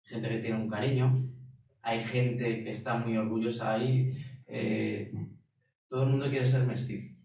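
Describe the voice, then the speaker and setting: A man from Spain telling a history story in a relaxed talk, animated and acting out quoted voices. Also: mid-range voice, unhurried. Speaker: guest speaker. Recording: echoey room, distant mic.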